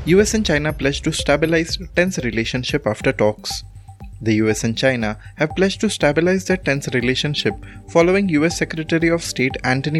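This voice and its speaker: Indian-accented man reading news over music. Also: mid-range voice. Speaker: news presenter. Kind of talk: scripted news bulletin reading.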